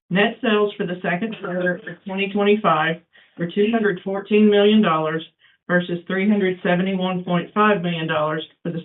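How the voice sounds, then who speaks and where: A woman speaking as chief financial officer, reading scripted financial results in a formal, businesslike way. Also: lowish voice. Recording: thin conference phone line.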